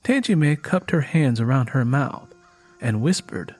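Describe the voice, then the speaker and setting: A man's voice: mid-range voice, lively intonation, unhurried, a little mellow, faint music behind. A man reading scripted story narration as the narrator of an audiobook.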